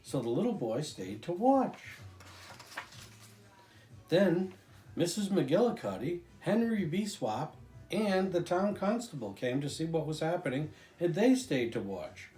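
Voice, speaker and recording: An older man reads a children's story aloud in a soft-toned, mellow voice. His pitch swings up and down expressively, and he stretches important phrases. The room adds noticeable echo around him. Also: very animated, measured pace, faint music behind. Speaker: storybook reader, grandfather.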